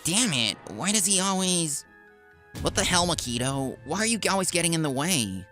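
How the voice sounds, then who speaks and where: A man's voice: fairly high pitch, sing-song, normal speed, pushed and bright, faint music behind. A man voicing a cocky, spiteful office worker in a comic dub, with a sneering, taunting manner. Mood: frustrated. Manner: sneering, taunting, stammers when cornered.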